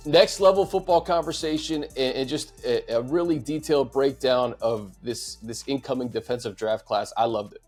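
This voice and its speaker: A man's voice: medium pitch, normal speed, hardly any pauses, darkish tone, faint music behind. A man hosting a sports show, talking casually and conversationally about football and handing over questions.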